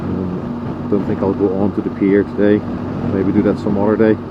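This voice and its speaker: A man's voice: low voice, completely flat delivery, normal speed. A man with a Dutch accent chatting casually as he rides a motorcycle, rambling with lots of ums and uhs. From Netherlands. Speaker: motorcycle vlogger. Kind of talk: casual vlog commentary while riding. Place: on a motorcycle riding outdoors. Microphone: helmet intercom headset mic. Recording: muffled lo-fi helmet mic, loud road and wind noise. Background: engine and road noise.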